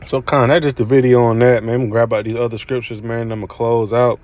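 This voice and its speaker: A man's voice: medium pitch, little pitch movement, fast talker, darkish tone, lo-fi sound, slight background noise. A man giving casual religious commentary over video clips, laid-back and repetitive, with many fillers. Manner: laid-back, repetitive with "you know what I'm saying".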